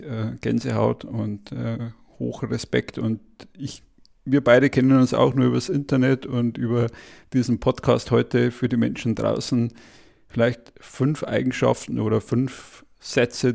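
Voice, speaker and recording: A man's voice: medium pitch, flat delivery, a little slow, gravelly, darkish tone. A man hosting a podcast interview, asking questions hesitantly with many fillers. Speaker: podcast host.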